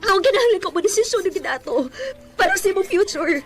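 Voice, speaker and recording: A woman's voice: extremely high pitch, expressive, fast, over loud music. An actress with a Cebuano Filipino accent playing a proud, wounded single mother, pleading and emotional, slipping into English in a radio drama. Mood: bitter, tearful. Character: proud, wounded single mother who hid her child's father. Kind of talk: Bisaya radio drama acting.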